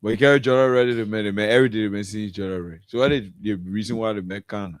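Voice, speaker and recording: A man's voice: fairly low pitch, steady tone, very fast, no echo. A man co-hosting a radio talk show, mostly interjecting short agreements. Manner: brief backchannel agreement, interjecting.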